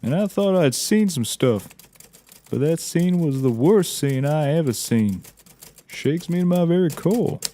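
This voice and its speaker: A man's voice: a little high, animated, a little slow, dark tone. A man playing a naive, folksy taxidermist and tracker in a scripted audio comedy, rambling with drawled interjections. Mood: earnest, rambling.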